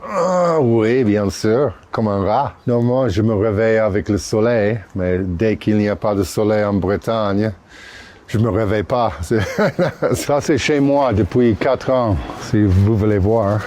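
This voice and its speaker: A man in his sixties from Utah, with an American accent, chatting casually and haltingly in French with English words slipped in, recorded outdoors under a bridge. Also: on the low side, mellow. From Utah, United States. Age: 60s. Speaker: interviewee. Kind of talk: casual chat.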